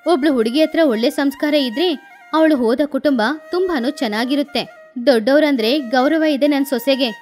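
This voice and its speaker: A woman's voice: high voice, normal speed, darkish tone, faint music behind, lightly acted. A woman playing a proud, shrewd middle-aged village mother-in-law with a firm, emphatic manner in a story. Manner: firm, emphatic, defensive of family.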